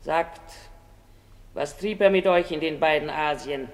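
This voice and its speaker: Casting: dead fishwife, bereaved and accusing mother. Unhurried, solemn radio-drama delivery, long reverb. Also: sits low. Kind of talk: theatrical verse radio drama.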